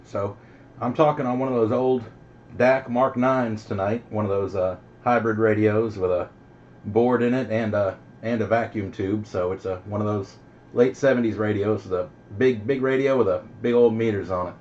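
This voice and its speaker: A man's voice: on the low side, fairly even intonation, moderate pace, a little mellow. A middle-aged man chatting casually and in a folksy, easygoing way as host over CB radio. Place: home radio base station. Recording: dull, noisy CB radio audio.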